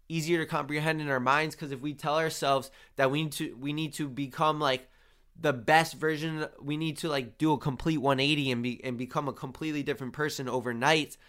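Male podcast host, slightly high voice, stuffed-up and nasal, mildly tired, delivering earnest motivational coaching with repeated emphasis. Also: a bit bright, smooth. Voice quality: nasal, congested. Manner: earnest pep talk, repetitive emphasis, often addressing listeners as guys. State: congested, a little tired.

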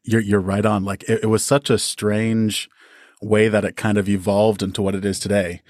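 A man's voice: lowish voice, level tone, normal speed, darkish tone, no echo, studio quality. A man speaking as a podcast guest, explaining things conversationally in an enthusiastic, articulate way. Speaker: storyteller and podcast creator.

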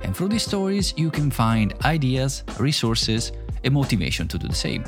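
Lively-pitched man hosting a podcast. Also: moderate pace, music in the background. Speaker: podcast host. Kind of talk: scripted intro then casual interview.

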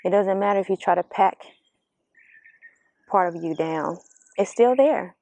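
A woman giving a reflective, earnest motivational talk outdoors, speaking slowly and asking the listener questions, her voice slightly raspy. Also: medium pitch, darkish tone. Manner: slow, earnest, coaching, asks the listener questions. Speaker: vlogger giving spiritual encouragement. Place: outdoors among birds. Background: birdsong.

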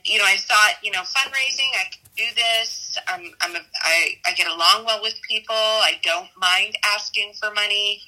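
A woman's voice: mid-range voice, normal speed, few pauses, pushed and bright, poor audio. A woman, giving casual, reflective interview answers in a conversational way. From Southern California, United States. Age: 40s. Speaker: interviewee, math teacher.

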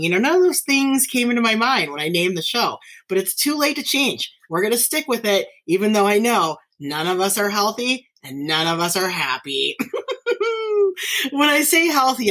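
A woman from northern Nevada hosting her own podcast, chatting casually and warmly about her life, with frequent laughs, fillers and restarts. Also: on the low side. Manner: chatty, giggly, frequent fillers and self-corrections. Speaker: podcast host, teacher. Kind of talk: casual solo podcast chat.

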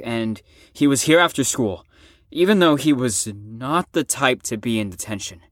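A young man acting a troubled, self-loathing teenage ex-addict in an emotional audio drama monologue, halting and profane. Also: mid-range voice, no echo, studio quality, close to an everyday voice. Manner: emotional, halting, profane, swings between bitter and impassioned. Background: music under the voice.

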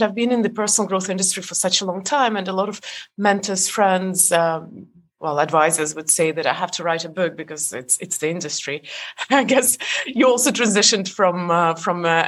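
A woman in her forties from Estonia speaks as a podcast guest with a low voice. She talks haltingly, with many fillers and restarts and light laughs. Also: a bit bright. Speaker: guest author. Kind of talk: casual interview chat.

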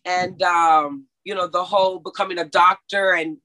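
A woman's voice: lowish voice, steady tone, moderate pace, sharp tone, low-quality recording. A woman who grew up in Mexico and went to school in Texas, a massage therapist chatting casually in an interview, laughing often and restarting sentences.

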